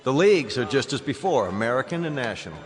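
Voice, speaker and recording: An actor playing an easygoing, reasonable military colonel from a spaceship in a radio drama, with a measured, assured delivery. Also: male voice, mid-range voice, big pitch swings, natural voice. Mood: friendly. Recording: radio drama with music underneath.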